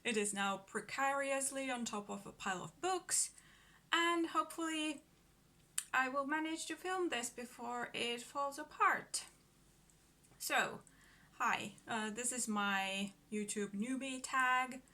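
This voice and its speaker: A woman's voice: high-pitched, slow pace, pushed and bright. A woman in her thirties from Finland with a Finnish accent, speaking English as a second language, chatting nervously to her phone camera at home with fillers and small laughs. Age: 30s. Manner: nervous, giggly, hesitant with many fillers. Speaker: book vlogger. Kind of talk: casual vlog talk to camera. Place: at home in front of a bookcase.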